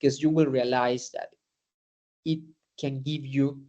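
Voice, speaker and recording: A man's voice: medium pitch, steady tone, slow pace, warm tone, dull audio. A man whose first language is Spanish gives an informal lecture to students in English, with many fillers, restarts and "no?" tag questions.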